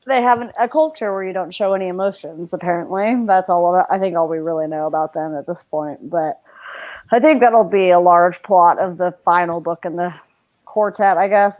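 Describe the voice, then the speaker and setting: A woman's voice: fairly low pitch, lo-fi sound. A woman around her thirties in a casual chat on a video call, explaining things as she thinks aloud. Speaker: book discussion guest. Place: living room at home, on a video call.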